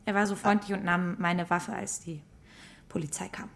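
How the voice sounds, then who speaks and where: A woman's voice: fairly low pitch, steady tone, moderate pace, creaky, fairly bright tone. An actress playing a fearless, cool, scheming woman in a radio play, with a blunt, mocking manner. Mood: casual, confessing. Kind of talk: radio play acting in German.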